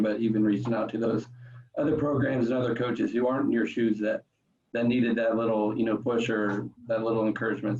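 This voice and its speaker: A man hosting an interview, affirming his guests and moving smoothly to the next question. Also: mid-range voice, darkish tone, distant mic, highs cut off. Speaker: host. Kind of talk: interview host.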